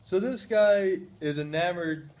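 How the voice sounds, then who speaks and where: A man's voice: high-pitched, unhurried. A man telling a story to friends in casual chat, acting out the people he quotes and often restarting his sentences. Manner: animated, acts out quoted voices, restarts often.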